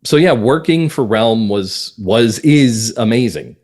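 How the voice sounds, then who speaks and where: A man's voice: medium pitch, lively intonation, slow, darkish tone. A man chatting casually as an interview guest, telling anecdotes about his creative work with enthusiasm. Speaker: podcast guest, audio drama writer and producer.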